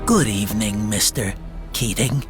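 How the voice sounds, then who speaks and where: An actor in a radio drama playing a sly, talkative private insurance investigator with a wordy, mock-polite manner. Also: male voice, on the low side, unhurried, over music, natural voice. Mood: sly, genial.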